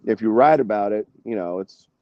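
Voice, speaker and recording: A man's voice: lowish voice, brisk, no room sound, highs cut off. A man talking casually as an interview guest, a singer telling stories in a rambling, slangy, salty way. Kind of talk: casual interview chat, anecdotes.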